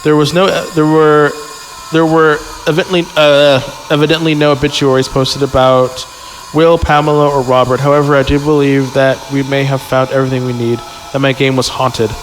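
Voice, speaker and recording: A man's voice: medium pitch, little pitch movement, moderate pace, a little hiss or hum. A man reading a horror story aloud as a podcast host, stumbling over words and dropping in jokey, casual asides. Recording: echoey hall sound with music.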